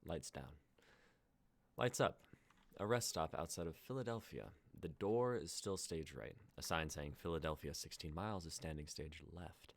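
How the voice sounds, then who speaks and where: A man's voice: low voice, normal speed. A young man reading stage directions as scripted narration. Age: young adult.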